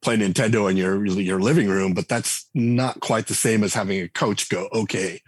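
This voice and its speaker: A man's voice: on the low side, normal speed, slightly rough, no echo. A man in his forties speaking as a guest in a casual interview, talking loosely with many restarts and fillers. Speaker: game writer and designer guest. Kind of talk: conversational podcast interview.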